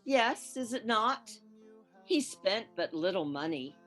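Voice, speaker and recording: A woman playing a solemn, measured ghostly spirit who guides and questions in a radio play. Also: medium pitch, sing-song, a bit bright, soft background music, heavily acted. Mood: pointedly questioning.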